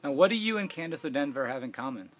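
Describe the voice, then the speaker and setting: A man's voice: medium pitch, animated, quick pace, slight background noise. A man interviewing, asking brief and direct questions.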